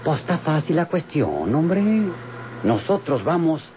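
An actor playing a wry, rustic bandit in an old radio drama, with rural Mexican accent and folksy broken grammar. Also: male voice, fairly high pitch, sing-song, unhurried. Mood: matter-of-fact. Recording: noisy, tinny old radio recording.

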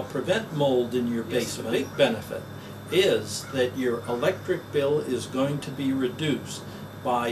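An older man talks through his own product in a plain, folksy sales manner, pausing on "uh" now and then. His voice sits a bit high for a man. He is recorded from a few feet away, with a steady fan hum in the background. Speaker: business owner pitching his product. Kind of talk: promotional demonstration. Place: office, then a basement demo wall indoors. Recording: distant mic with audible fan hum.

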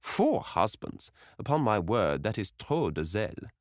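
A man voicing an excitable elderly society hostess who gushes and scolds in high exclamations. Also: moderate pace, no echo, clean studio sound. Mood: incredulous. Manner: gushing, scolding, shrill exclamations.

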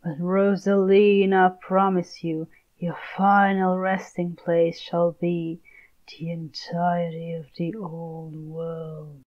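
A grave male villain from a fantasy game, performed by a woman, makes solemn vows. The voice is dropped lower than the performer's own and very measured, in a slightly dull home recording. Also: little pitch movement. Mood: solemn, cold. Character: grave, menacing male game villain mourning a fallen comrade.

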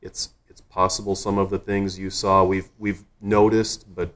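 A man's voice: deep voice, flat delivery, normal speed, fairly bright tone. A man speaking as a city official, giving an informal, plain-spoken and reassuring update in a meeting room. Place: council meeting room, indoors.

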